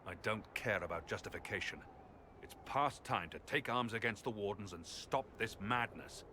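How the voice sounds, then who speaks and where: A man's voice: fairly low pitch, moderate pace, sharp tone, a little hiss or hum. An actor voicing a cynical, hardened male companion who speaks in a dry, grave manner. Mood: grim.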